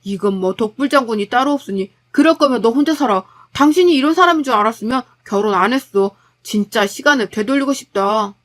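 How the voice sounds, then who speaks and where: A woman's voice: on the high side, expressive, brisk, few pauses, lightly acted. A woman voicing an exasperated, blunt husband caught in a family quarrel. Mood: bitter. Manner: blunt, irritated. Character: exasperated young married man, blunt.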